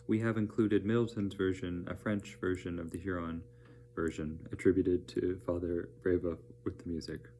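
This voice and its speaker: A man reading educational narration aloud as a teacher, in a measured, plain-spoken manner. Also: low voice, level tone, warm tone, a little hiss or hum.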